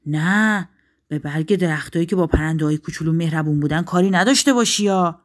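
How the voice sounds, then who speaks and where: A woman's voice: low voice, close to an everyday voice. A woman voicing a commanding forest king in a children's story, giving firm orders.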